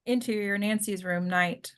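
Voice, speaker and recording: A woman's voice: mid-range voice, fairly even intonation, moderate pace, smooth. A woman narrating the stage directions of a film script at a table read.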